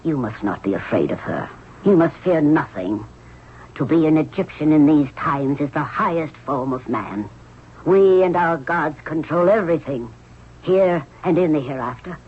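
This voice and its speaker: An actress playing a stern, middle-aged queen mother of ancient Egypt in a 1970s radio drama, with an imperious, measured, formal delivery. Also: deep voice, lively intonation, husky. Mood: firm. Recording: muffled, noisy old radio recording.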